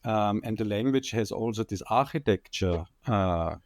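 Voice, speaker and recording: A man's voice: fairly low pitch, moderate pace, darkish tone, dry recording, studio quality. A man with an Austrian accent, a guest on a podcast interview, explaining ideas with plenty of fillers and the odd joke, speaking into a condenser microphone. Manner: explanatory, joking, many fillers. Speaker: guest enterprise architect.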